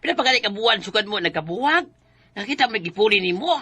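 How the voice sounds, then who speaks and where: A woman's voice: mid-range voice, lively intonation, fast talker, background noise, close to an everyday voice. A woman with a Cebuano Filipino accent playing a nosy, blunt young gossip in a radio drama. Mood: gossipy.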